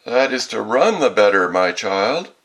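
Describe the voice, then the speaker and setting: A man voicing a sly, hungry storybook wolf who softens his voice to coax and deceive. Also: on the low side, big pitch swings, slightly rough, pitched above the performer's own voice, heavily acted, poor audio. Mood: menacing coaxing. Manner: coaxing, drawn-out, put-on softened voice. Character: sly hungry male wolf posing as sweet grandmother.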